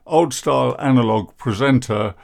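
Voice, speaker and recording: A man in his sixties, a therapist hosting his own podcast, talking in a deep, croaky, downbeat and self-deprecating way. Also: normal speed, darkish tone. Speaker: podcast host, therapist and coach. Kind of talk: reflective podcast monologue.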